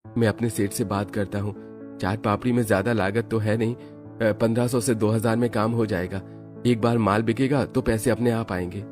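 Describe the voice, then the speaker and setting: A man's voice: lowish voice, steady tone, moderate pace, a little mellow, close to an everyday voice. A man playing a humble, careworn working father. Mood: earnest. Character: tired, humble day-labourer father, kind and worried. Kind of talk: cartoon story dialogue. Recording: background music under the voice.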